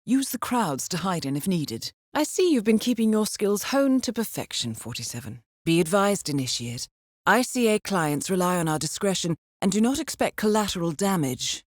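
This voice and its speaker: A woman's voice: mid-range voice, dry recording, studio quality. An actress playing a cool-headed female intelligence handler in a video game, giving scripted mission briefings in a composed, formal, dryly understated way. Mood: businesslike, composed, then dry, wry, then coolly stern.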